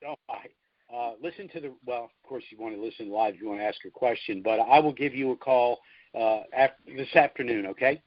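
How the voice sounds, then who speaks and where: A man hosting a call-in conference call, speaking off the cuff and haltingly over the telephone. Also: medium pitch, no echo. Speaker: call-in show host. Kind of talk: unscripted conference call talk. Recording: dull lo-fi conference phone line.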